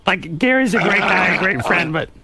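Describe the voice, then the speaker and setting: A man with a high voice and a bright, forward tone talks on a radio show. He is casual and conversational, with an earnest way of recounting things. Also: expressive, moderate pace, slightly rough, no room sound. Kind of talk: casual radio studio talk. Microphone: studio radio mic.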